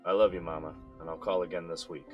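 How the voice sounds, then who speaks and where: A weary jailed father, bitter and sighing, with a very deep voice, as a man plays him. He talks low and resigned over faint music. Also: little pitch movement, quick pace, a little mellow, lightly acted. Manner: tired, sighing, resentful. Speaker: son calling his mother from jail. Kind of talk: audio drama acting.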